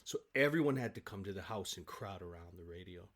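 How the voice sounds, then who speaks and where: A man speaking as an interview guest in casual, enthusiastic conversation, telling stories in an animated way. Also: low voice, fast talker.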